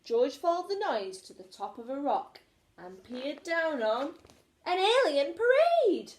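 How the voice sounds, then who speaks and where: A woman's voice: high voice, sing-song, a little slow. A woman teacher reading a children's story aloud expressively, recorded in an echoey room.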